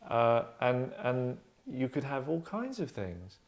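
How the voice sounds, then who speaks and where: Rambling, deadpan man in his thirties. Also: medium pitch, takes it slowly. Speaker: writer and host. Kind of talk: casual group discussion. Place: furnished indoor lounge.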